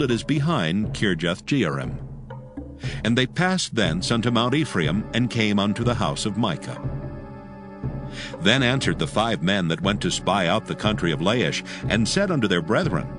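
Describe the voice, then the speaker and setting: A man's voice: lowish voice, sing-song, normal speed. A man narrating dramatized scripture as a solemn storyteller, reading from a script. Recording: faint music behind the voice.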